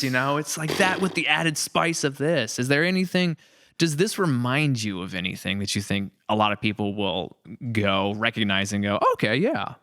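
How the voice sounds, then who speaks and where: A man's voice: mid-range voice, a bit bright, no room sound, clean studio sound. A man hosting a casual podcast conversation, jokey and appreciative in manner. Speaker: podcast host.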